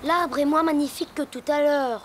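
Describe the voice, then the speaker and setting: A woman's voice: high-pitched, fairly even intonation, normal speed, very noisy, close to an everyday voice. A woman voicing an earnest, caring young boy hippopotamus in a children's cartoon. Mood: satisfied. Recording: music behind the voice.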